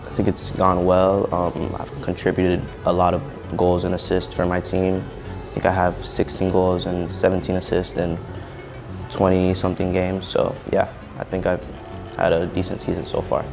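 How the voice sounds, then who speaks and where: A teenage male soccer player answering interview questions in a relaxed way, often ending with "so yeah". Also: low-pitched, moderate pace, creaky, music in the background. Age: teens. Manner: relaxed, ends thoughts with "so yeah".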